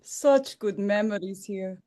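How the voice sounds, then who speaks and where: A woman's voice: mid-range voice, slow pace, mellow, close to an everyday voice. A woman playing a sweet, wholesome Austrian widow in her seventies who keeps a shop, in a romcom table read. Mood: proud, fond.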